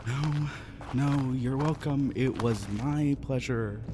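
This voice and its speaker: A man's voice: medium pitch, slow pace, lightly acted. A man playing a wary, sardonic, put-upon jungle guide in an audio drama. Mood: dry sarcasm. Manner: sardonic, skeptical. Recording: clear background noise and ambience.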